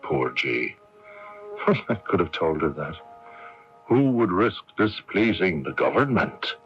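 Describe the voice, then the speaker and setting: An actor playing a condemned Irish patriot in a radio drama, bitter, fatalistic and eloquent, with a theatrical, sardonic and deliberate delivery. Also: male voice, low voice, sing-song, soft background music, full character voice. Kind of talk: radio drama acting with narration. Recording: dull, muffled 1980s AM radio recording.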